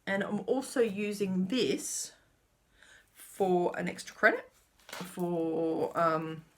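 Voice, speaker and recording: A woman's voice: on the low side, animated, slow pace, fairly bright tone. A middle-aged woman chatting casually through her cross-stitch update, rambling and talking to herself as she checks her notes. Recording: faint background noise.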